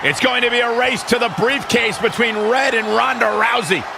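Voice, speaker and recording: A man playing an excitable, incredulous play-by-play announcer, calling a wrestling match in a video game. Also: very high-pitched, normal speed, vocal fry, noisy background. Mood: excited. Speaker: play-by-play commentator. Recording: loud arena crowd and slams.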